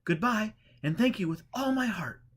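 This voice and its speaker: An eager, muscular fellow full of joy and gratitude, acted out by a male narrator in a storybook reading. His voice is pushed high and gushes with earnest excitement. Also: moderate pace, slightly rough. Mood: grateful, eager. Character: eager, muscular reformed drinker, joyful and grateful man. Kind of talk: audiobook character voice.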